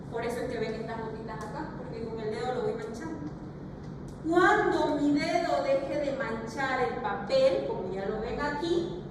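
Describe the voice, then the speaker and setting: A woman's voice: on the high side, background noise. A woman lecturing a class, explaining and demonstrating each step, and firmly correcting her students. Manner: firm, corrective. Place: large classroom. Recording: echoey classroom recorded from a distance.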